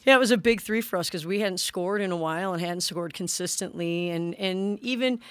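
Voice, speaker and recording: A woman in her forties, a head basketball coach, answering questions at a postgame press conference in a measured way, often restarting her sentences. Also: lowish voice, fairly bright tone, clean tone. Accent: American. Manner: measured, frequent restarts and "you know". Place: press room in front of a sponsor backdrop. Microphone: desk microphone on a stand.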